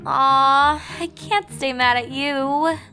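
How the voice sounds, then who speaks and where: A woman voicing an excitable, studious young unicorn mare in a storybook reading, affectionate and easily flustered. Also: high-pitched, slow, faint music behind, pitched above the performer's own voice, exaggerated voice.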